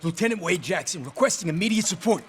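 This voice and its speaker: A cocky American fighter pilot in a war game, with a fairly high voice. He is brash and sardonic, heard over faint background noise. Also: expressive, fast. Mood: urgent, frustrated. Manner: brash, sarcastic. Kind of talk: dramatic video game acting.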